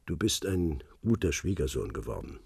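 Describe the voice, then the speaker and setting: A cryptic old spy. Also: male voice, very deep voice, big pitch swings, creaky, a little mellow, slightly rough, dry recording, clean studio sound, heavily acted. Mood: calm. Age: older. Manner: cryptic, measured, speaks in hints. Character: old retired secret-service man, cryptic. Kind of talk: radio play acting.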